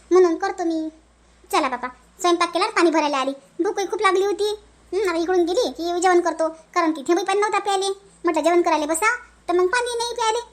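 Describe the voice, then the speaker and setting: Woman acting very high-pitched, weary young Varhadi bride. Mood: resigned. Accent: Varhadi Marathi. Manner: plaintive, colloquial grumbling. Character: put-upon young newlywed village daughter-in-law, complaining and weary. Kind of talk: animated family comedy dialogue.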